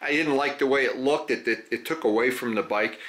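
A man's voice: mid-range voice, fairly quick, a bit bright. An older man hosting a motorcycle question-and-answer video, talking casually to camera in a folksy, rambling way with frequent restarts. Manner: rambling, self-correcting, folksy. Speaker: motorcycle restoration YouTuber.

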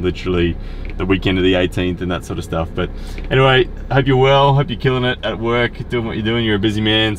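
A man in his thirties with an Australian accent chats casually for a vlog in a laid-back, matey, rambling way. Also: sits low. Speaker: vlogger and show host. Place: inside a car. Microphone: in-car camera. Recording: clear car and road noise.

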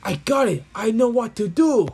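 Man voicing an excitable young cartoon hedgehog, high-pitched. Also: normal speed, vocal fry, husky, full character voice. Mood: cheerful. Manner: musing aloud, exclaiming. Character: small young male hedgehog, excitable, earnest, affectionate. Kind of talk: amateur fan comic dub.

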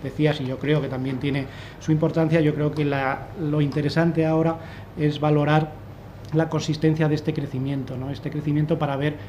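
Guy in his 30s talking markets on a panel, kinda high voice, muffled desk mic. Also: level tone, normal speed, dark tone, slight background noise. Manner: analytical, thinks aloud with many restarts. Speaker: fund manager on a panel. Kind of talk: panel discussion. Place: wood-panelled conference room. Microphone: desk microphone.

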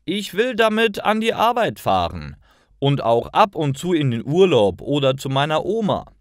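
Eager young male character , played by a male narrator, very expressive, dry sound, storybook dialogue. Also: on the high side, moderate pace, no echo, voice pushed up. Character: earnest young man asking his friend for advice. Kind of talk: acted dialogue in a learner story.

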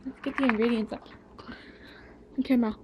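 A woman's voice: on the high side, steady tone, normal speed, noisy background. A young woman joking around while cooking in a small home kitchen, teasing and chanting, caught on a handheld camera. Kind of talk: playful joking banter.

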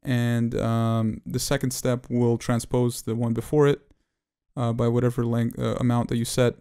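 A man presenting an unscripted software tutorial as its developer, explaining technical detail in a halting, hesitant way. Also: mid-range voice, flat delivery, clear, resonant tone.

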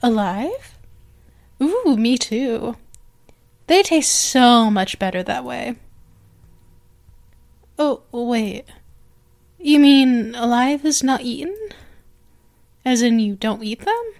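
Woman acting a high-voiced, possessive snake girl. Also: animated, slow pace, creaky, long pauses, a little mellow, exaggerated voice. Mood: coaxing, eager. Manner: coaxing, hesitant, trailing off and restarting. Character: possessive, eager young female lamia, a snake girl monster. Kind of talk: ASMR roleplay acting.

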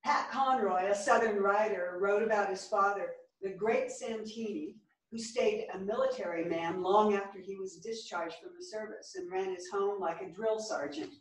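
A woman's voice: medium pitch, moderate pace, close to an everyday voice. A woman playing a frank, bookish, wounded character in a staged play reading, recorded from far off in a theatre. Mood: explaining. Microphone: far camera. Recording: echoey theatre, far from the microphone.

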